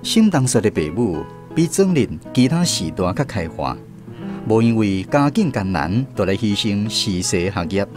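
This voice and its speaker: A man's voice: lowish voice, big pitch swings, normal speed, over music. A man with a Taiwanese accent narrating a scripted literary radio drama as a storyteller.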